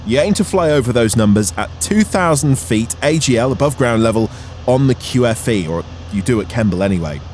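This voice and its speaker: A man's voice: mid-range voice, lively intonation, brisk, a little mellow. A man narrating an explanatory vlog as its host, in a voice-over style. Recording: music under the voice. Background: background music.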